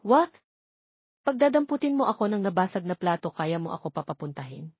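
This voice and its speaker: Woman acting an incredulous male friend, husky. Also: lowish voice, big pitch swings, fairly quick, no echo, dull audio, exaggerated voice.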